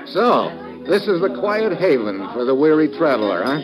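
An actor playing an impatient, hard-boiled private detective in old-time radio style, clipped, slangy and wisecracking. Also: male voice, fairly high pitch, big pitch swings, normal speed, music in the background. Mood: exasperated, wry. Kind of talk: old-time radio detective acting with first-person narration. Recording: dull vintage radio recording.

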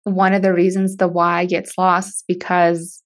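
A woman's voice: fairly low pitch, completely flat delivery, unhurried. A woman whose first language is Russian, a podcast guest talking casually and earnestly about church. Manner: earnest, explanatory, with soft laughs. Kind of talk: casual conversation.

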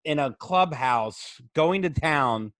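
Animated man chatting loosely on a comedy podcast. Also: fairly high pitch, slow pace, dry recording. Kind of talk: casual comedic podcast chat.

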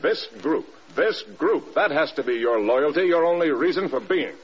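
An actor playing a stern, demanding American air force general in clipped, blunt classic radio drama style. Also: male voice, a little high, lively intonation, moderate pace, breathy edge. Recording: dull, band-limited old radio recording.

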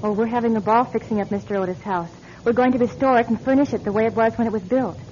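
An actress playing a friendly, earnest and quietly mysterious young woman in a classic radio drama. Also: fairly high pitch, quick pace, slight background noise, lightly acted. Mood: warm, teasing. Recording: dull, lo-fi old radio recording.

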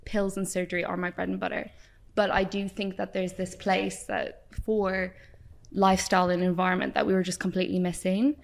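An Irish woman in her thirties speaks as a podcast guest, thoughtful and loose with fillers. Her voice sits fairly low and stays flat and even, with little rise and fall. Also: a little fast, creaky. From Ireland. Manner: thoughtful, with many likes and ums. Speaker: doctor and nutritionist guest. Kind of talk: podcast interview.

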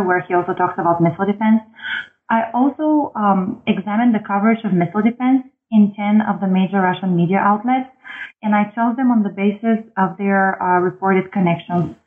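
A woman in her thirties from Bulgaria, answering interview questions about her background in a measured way with frequent ums. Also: mid-range voice. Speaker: guest author. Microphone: phone line. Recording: tinny phone line.

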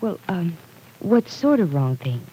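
An actress playing a gentle, kindly small-town young woman in a 1940s radio drama, speaking softly and hesitantly, stammering politely. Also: fairly low pitch, sing-song, unhurried, breathy edge, exaggerated voice, rough recording.

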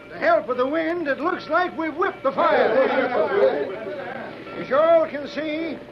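An actor playing a forceful, resolute railroad crew boss in an old-time radio western. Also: male voice, extremely high pitch, lively intonation, unhurried, heavily acted. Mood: shouting, resolute. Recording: dull old radio, noisy crowd and music.

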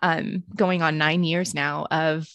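A woman in her thirties, a ministry leader and author, talking about her life in a reflective, earnest way with soft laughs. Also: deep voice, steady tone, slow, no room sound, lo-fi sound. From born in Greece, raised in the United States and Romania. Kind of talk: casual interview conversation.

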